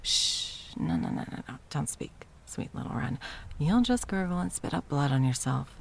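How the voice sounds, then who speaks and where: A woman's voice: low voice, wildly swooping pitch, moderate pace, heavily acted. A woman acting an arrogant, centuries-old immortal sorceress tyrant in an ASMR roleplay, teasing and theatrical, at times slipping into whispers. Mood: softly mocking, then gloating, cruel. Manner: mocking, purring, theatrical, slipping into breathy whispers.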